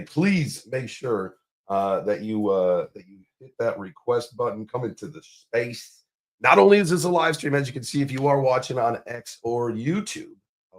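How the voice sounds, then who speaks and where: A man hosting a casual crypto livestream. His voice is raspy and hoarse, and he talks in upbeat hype with lots of filler words. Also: mid-range voice. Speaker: livestream host.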